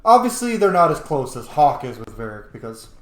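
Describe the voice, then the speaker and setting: A man's voice: on the high side, sing-song, fairly quick, fairly bright tone, slight background noise. A man giving casual, informal gameplay commentary, reacting aloud to game characters. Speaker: let's play streamer. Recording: echoey room.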